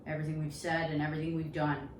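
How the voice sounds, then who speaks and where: Loud sarcastic woman in an echoey room. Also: sits low, flat delivery, rapid-fire. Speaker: podcast co-host. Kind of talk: casual comedic banter. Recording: echoey room with faint ambient noise.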